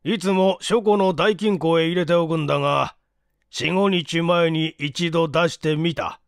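A man's voice: a little high, fairly even intonation, unhurried, vocal fry, clear, resonant tone, no room sound, studio quality. A man voicing an irascible, domineering old male scientist in a barking, imperious manner, for a story reading. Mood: troubled, confiding.

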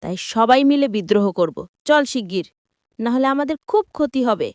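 A woman voicing a bossy, excitable village farm woman in a cartoon, nagging and exclamatory. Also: fairly high pitch, brisk, no room sound, studio quality, lightly acted. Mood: alarmed, angry. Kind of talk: cartoon voice acting.

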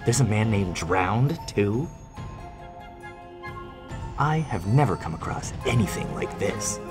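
A man acting a bewildered, incredulous royal knight in an animated fairy tale, with music behind his voice. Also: lowish voice, slow pace. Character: bewildered male royal knight, homeless traveller. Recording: voice over loud background music.

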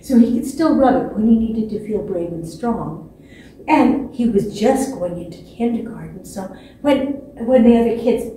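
A woman in her sixties telling a children's story expressively, with dramatic pauses and repetition. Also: medium pitch, mellow. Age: 60s. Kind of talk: animated children's storytelling from memory. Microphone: distant camera microphone. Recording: echoey stage, distant mic, faint noise.

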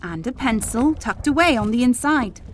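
An actress playing a gentle, doting nineteenth-century farm mother in a family audio drama, tender and affectionate. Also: fairly high pitch, expressive, normal speed, a little hiss or hum, close to an everyday voice. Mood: loving, playful. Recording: music behind the voice.

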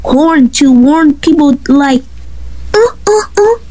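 A woman voicing an arrogant, childish buff male gym bully in an amateur animated skit, taunting in a drawn-out way. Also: high voice, no room sound. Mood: mocking, boastful.

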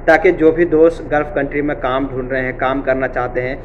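A man's voice: medium pitch, steady tone, brisk, dull audio, a little hiss or hum. A young man hosting a job-vacancy channel, explaining things casually and repeating reassuring phrases, recorded in an indoor office room on a webcam. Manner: repetitive, reassuring, frequent filler "theek hai".

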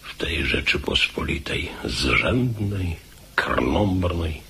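An actor playing a weary, grumbling old military commander with a gravelly voice in a radio play. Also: male voice, sits low, sing-song, very slow, heavily acted. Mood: weary, resigned. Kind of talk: radio drama acting. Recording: loud noise and clicks behind.

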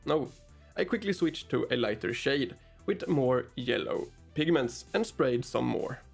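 A man in his thirties whose first language is Swedish, hosting a miniature painting tutorial with deadpan, sarcastic asides, recorded in a small hobby room on a mic in front of him. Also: medium pitch, measured pace, vocal fry, husky, soft background music. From Sweden. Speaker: miniature painting channel host. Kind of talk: dry, joking tutorial narration.

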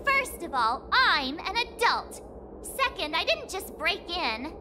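A high, teasing young heroine. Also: female voice, sing-song, slow, noisy background, heavily acted. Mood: indignant. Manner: bright teasing chatter. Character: cheerful young woman adventurer, playful and teasing.

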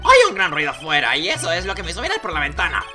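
A man voicing an excitable, jokey circus clown in a video game, with a theatrical, giggly, exclamatory manner. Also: fairly high pitch, rapid-fire, music in the background. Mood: recounting. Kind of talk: comic video game dialogue dubbing.

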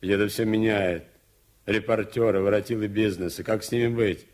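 An actor playing a cautious, worried establishment man in a 1980s Soviet radio drama, speaking deliberately. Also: on the low side, steady tone, a little mellow, lightly acted. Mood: dismayed.

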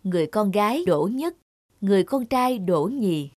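A woman narrator reading a scripted story for an animated tale. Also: mid-range voice, moderate pace, no room sound, studio quality.

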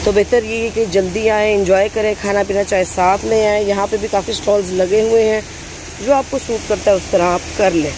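A man with a notably high voice talks to viewers in an instructive, practical way, slipping English words into his advice. He sounds like a travel vlogger recording outdoors. Heavy background noise runs under his voice.

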